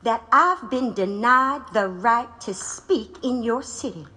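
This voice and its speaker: An actress playing a defiant, sharp-tongued 1880s anarchist speaker in an audio drama. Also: female voice, fairly high pitch, slow.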